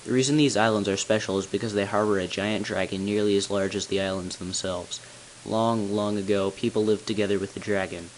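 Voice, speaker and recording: A man playing a tall, solemn bell ringer who reveals long-kept secrets in grave, deliberate narration for a game. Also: lowish voice, steady tone, lightly acted. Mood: solemn, explanatory. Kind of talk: scripted character narration, amateur game voice-over. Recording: hissy, noisy amateur home recording.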